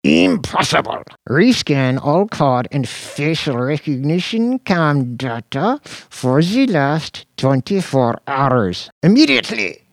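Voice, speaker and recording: A scrawny, petulant detective squeals in a high, whiny register that swoops wildly up and down. His lines come in a sing-song, childish chant. The sound is dry and close. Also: sing-song, slow pace, dry recording, full character voice. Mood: shrill, frantic.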